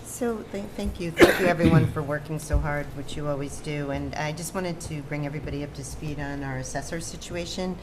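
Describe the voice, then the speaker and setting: An American woman chairing a town meeting, giving informal updates in hesitant, measured phrases. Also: low-pitched. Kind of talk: informal public meeting remarks. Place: indoor town board meeting. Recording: noisy public meeting room ambience.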